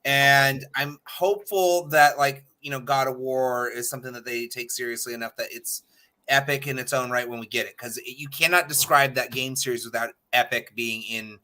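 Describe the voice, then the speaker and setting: A man's voice: medium pitch, steady tone, normal speed, pushed and bright. An American man giving emphatic, opinionated takes in casual panel chat, swearing freely. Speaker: podcast panel guest.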